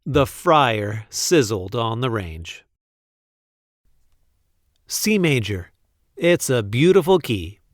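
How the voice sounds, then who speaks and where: A man reading a picture book aloud expressively, with deliberate, playful emphasis on the sentences. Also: mid-range voice, very animated, no echo, clean studio sound. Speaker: read-aloud storyteller.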